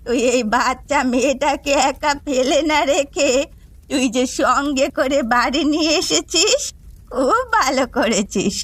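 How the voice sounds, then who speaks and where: High-voiced cartoon grandmother acted by a woman. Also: lively intonation, a little slow, dry recording. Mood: approving. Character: affectionate old village grandmother. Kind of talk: cartoon fairy-tale voice acting.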